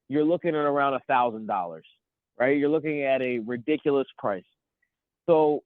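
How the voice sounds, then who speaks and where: A man in his thirties with a cold, chatting as a podcast co-host and rambling excitedly through his stories. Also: medium pitch, dry recording. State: sniffly from a cold. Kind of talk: casual chat. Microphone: phone. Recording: tinny phone line.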